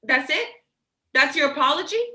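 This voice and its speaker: A woman playing an insecure, blunt, protective older sibling in a staged play reading, halting and repeating words. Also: high voice, animated, pushed and bright, reverberant, heavily acted. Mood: indignant.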